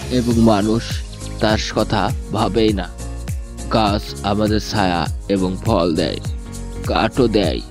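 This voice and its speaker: A man telling a scripted children's fairy tale as the storyteller. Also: lowish voice. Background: music.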